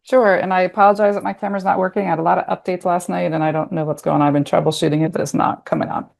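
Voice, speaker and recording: A woman, a research director, introducing herself on a video call in a brisk, businesslike way. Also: lowish voice, little pitch movement, gravelly, darkish tone.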